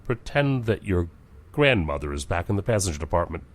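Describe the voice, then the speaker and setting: A man's voice: sits low, sing-song, fairly quick. A man playing a humane, commanding but weary starship captain in a science fiction audio drama.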